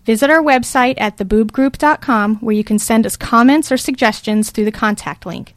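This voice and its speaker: A woman's voice: on the high side, normal speed, no echo. A woman hosting a podcast panel in a studio, talking in a friendly, encouraging way. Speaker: podcast host. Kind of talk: casual panel discussion. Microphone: studio microphone.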